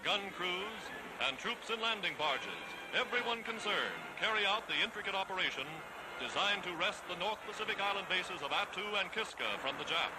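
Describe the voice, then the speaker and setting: A man's voice: high voice, lively intonation, normal speed, music in the background, rough recording. A man with an American accent narrating a 1940s newsreel in a formal, declamatory, emphatic manner on a vintage soundtrack.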